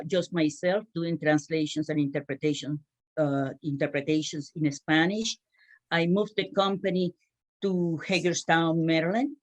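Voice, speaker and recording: A middle-aged woman from Cuba telling her own career story as a panelist, with a hesitant, pausing delivery. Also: low-pitched. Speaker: panelist, translation business owner. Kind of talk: panel talk, personal career account.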